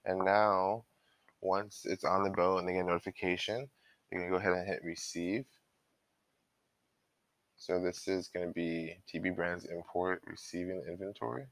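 Very low-voiced man, bright and close. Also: fairly even intonation, normal speed, no echo. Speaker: software trainer. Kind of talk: informal step-by-step software walkthrough.

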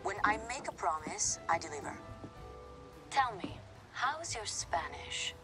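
A woman playing a confident, businesslike football agent, speaking in a measured, formal way in a game cutscene. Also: medium pitch, sing-song, over loud music. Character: assured, businesslike female football agent on a call. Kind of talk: naturalistic game cutscene acting.

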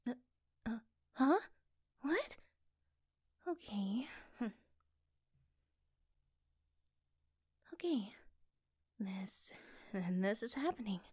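A woman playing an affectionate, curious girlfriend in an ASMR roleplay, speaking gently and haltingly. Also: on the high side, very animated, husky, exaggerated voice. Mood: bewildered.

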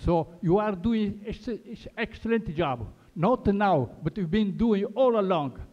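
An older man with a Portuguese accent speaks earnestly and haltingly into a handheld mic, restarting words as he goes. His fairly high voice sounds dull and carries a long hall reverb. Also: lively intonation. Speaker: audience member. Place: large wood-paneled hall, indoors.

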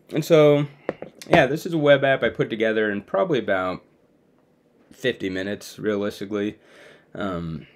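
Young adult man, slightly low pitch, informal, conversational, casual video demo, talking to viewers. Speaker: video creator presenting his own web app. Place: small room at home, indoors.

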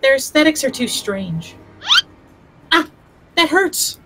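A woman's voice: high-pitched, very animated, slow, noisy background, full character voice. A woman voicing a quirky, easily irritated teenage schoolgirl in an anime fan comic dub, dramatic and childlike. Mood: indignant.

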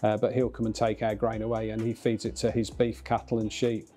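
Middle-aged male, dark mellow tone, documentary interviewee, soft music bed. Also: lowish voice, monotone, brisk. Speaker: brewery owner or worker. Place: brick-walled room indoors.

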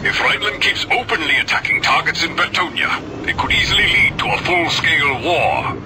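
A man voicing a steady, protective space pilot who speaks in a calm, formal way over radio chatter in a game. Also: on the high side, fairly quick, heavy background noise. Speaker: wingman ally in a space game.

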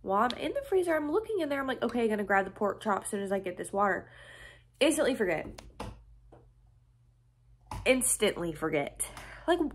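A woman's voice: medium pitch, slight background noise. A woman in her twenties with a stuffy nose from a cold, rambling casually with many fillers as she vlogs her day into a phone held at arm's length, first in a car and then at home. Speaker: vlogger. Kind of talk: casual day-in-the-life vlog chat. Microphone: phone camera held at arm's length.

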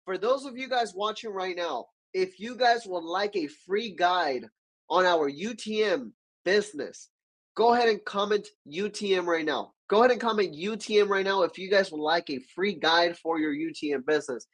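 A man's voice: high-pitched, moderate pace. A young man with a stuffy cold hosting a business live stream, talking with energy and asking viewers to comment. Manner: energetic, gestures with both hands, keeps asking viewers to comment. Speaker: livestream host. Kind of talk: business livestream teaching. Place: small dark-walled home studio.

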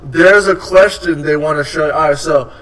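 A man's voice: fairly high pitch, moderate pace, a bit bright, distant mic, poor audio. A young man hosting a live stream, chatting casually with slang, swearing and playful teasing from a desk in an indoor room. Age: young adult. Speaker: streamer host.

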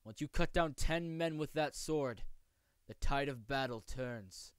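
A man voicing a polite, aloof young swordsman from a manga, with formal, measured, precise speech. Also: mid-range voice, smooth, no echo, studio quality, lightly acted. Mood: cool, composed. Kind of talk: amateur voice acting showcase of manga lines.

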